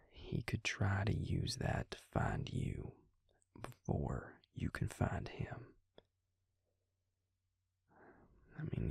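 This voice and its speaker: A man playing a calm, protective vampire in an intimate audio roleplay, speaking gently and measuredly in a breathy, gravelly near-whisper. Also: low voice, no echo, studio quality, full character voice. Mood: cautioning. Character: calm, protective male vampire explaining lore to a werewolf. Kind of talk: intimate audio roleplay, slow hushed delivery.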